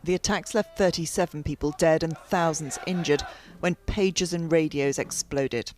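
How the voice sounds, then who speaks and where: A woman's voice: low voice, moderate pace, music in the background, crowd noise. A woman delivering a scripted television news report as a correspondent.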